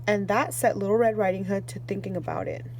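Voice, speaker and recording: A woman's voice: medium pitch, fairly quick, slight background noise, poor audio. A woman reading a children's fairy tale aloud as a storyteller, then talking directly to the kids. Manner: expressive read-aloud, warm sign-off to kids.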